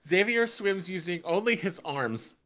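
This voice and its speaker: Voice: medium pitch, lively intonation, unhurried, a bit bright. Sarcastic co-host reading stage directions. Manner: sarcastic, breaks off to comment. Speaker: table-read narrator and co-host.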